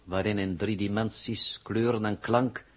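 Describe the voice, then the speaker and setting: A man acting a cold, superior old man, an alien in disguise, speaking formally and sardonically in a 1960s radio drama, with a husky voice. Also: lowish voice, fairly even intonation, unhurried. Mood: mocking. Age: older.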